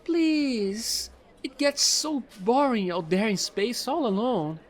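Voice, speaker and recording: High-pitched, animated fallen angel played by a man. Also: slow pace, exaggerated voice. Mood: pleading. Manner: rambling, playful, pleading, casual slangy talk. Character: offbeat fallen angel space trader, non-binary, eager and chatty. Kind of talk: audio roleplay monologue addressed to the listener.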